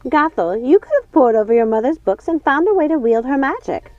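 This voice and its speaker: A woman voicing an imperious wicked witch in a storybook, scolding and commanding in a sharp manner. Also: sits high, expressive, fairly quick, no echo, pitched above the performer's own voice. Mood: blaming. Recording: dull lo-fi sound, music underneath.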